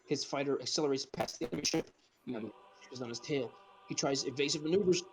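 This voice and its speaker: A man's voice: medium pitch, fast talker, low-quality recording. A man narrating a script informally for an amateur comedy reading, sometimes stumbling over the words.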